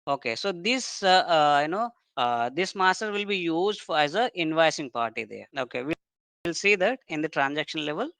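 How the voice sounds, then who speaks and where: A software trainer walks through technical material step by step. The voice is clean and smooth, with a dry sound free of room echo. The delivery is explanatory, with points often closed by brief checking tags. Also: medium pitch, expressive, normal speed.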